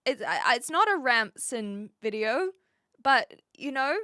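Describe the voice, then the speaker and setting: Bright, chatty woman streamer. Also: fairly high pitch, very animated, measured pace, no echo, studio quality. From New Zealand. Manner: chatty and rambling, with frequent restarts and fillers. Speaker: live streamer. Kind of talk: casual live-stream chat, answering viewers.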